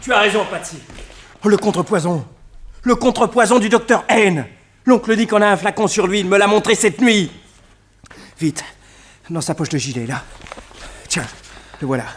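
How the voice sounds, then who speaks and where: An actor voicing an excitable young male nephew who talks urgently in a radio drama. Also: sits high, moderate pace, long pauses. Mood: relieved.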